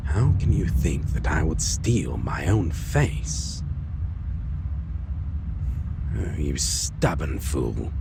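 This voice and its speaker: A suave vampire lover, acted by a man speaks in a gravelly voice with swooping, lively intonation. He is teasing and theatrical, as in an intimate dark fantasy audio drama. There is clear noise behind the voice. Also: rumbling low, exaggerated voice. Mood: indignant, teasing. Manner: theatrical, teasing, endearments, soft chuckles, hesitant pauses. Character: suave teasing male vampire, former hunter, long-lost lover.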